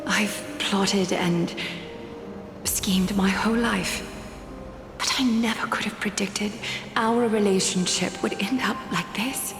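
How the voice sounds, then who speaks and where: Game matriarch: centuries-old dignified plotter, solemn, measured, regretful, long hall reverb, music underneath. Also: female voice, mid-range voice, steady tone. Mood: regretful, earnest. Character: regretful, dignified, ancient female schemer and leader. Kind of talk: video game character acting.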